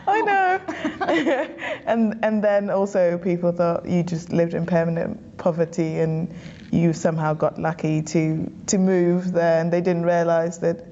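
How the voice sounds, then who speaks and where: Young woman from Ghana, interview guest, mellow low-ish voice, chatty and quick to laugh, slightly dull recording. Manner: chatty, laughs easily, frequent small restarts. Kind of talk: relaxed personal storytelling.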